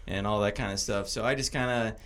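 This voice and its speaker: Man, twenty-eight, blunt and jokey, crowd noise clear behind. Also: lowish voice, fairly even intonation, fast. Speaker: guest, server. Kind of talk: casual chat.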